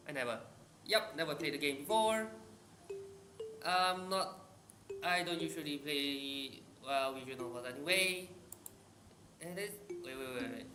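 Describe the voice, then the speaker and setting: A man's voice: fairly high pitch, sharp tone. A man from Malaysia streaming a visual novel, reading its text aloud and chatting in casual asides. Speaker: game streamer. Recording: roomy with music behind.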